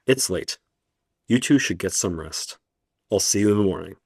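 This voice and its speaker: A kindly elderly professor, voiced by a man, talks in a calm, measured way with a very deep voice. His lines in this radio play sound dry and close-miked. He is wry and reassuring. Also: vocal fry, slightly rough, no room sound, exaggerated voice. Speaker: professor character.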